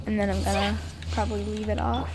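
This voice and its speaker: A woman's voice: medium pitch, level tone, fast, a little mellow. A woman giving casual commentary as she streams a video game. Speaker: gaming streamer. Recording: faint background noise. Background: video game sounds.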